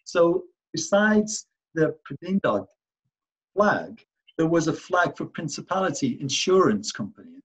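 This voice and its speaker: A man's voice: a little high, very animated, measured pace, husky, rough recording. A man giving an artist talk over slides, hesitant and full of restarts and fillers. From Wales.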